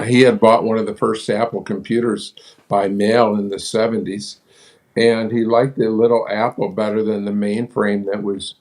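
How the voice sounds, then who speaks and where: An older man from upstate New York, guesting on an interview and recounting his past. His voice sits low and he talks in a plain, matter-of-fact way, with the odd filler as he gathers his thoughts. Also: creaky, a little mellow, poor audio. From upstate New York, United States. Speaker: guest, businessman. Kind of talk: interview storytelling.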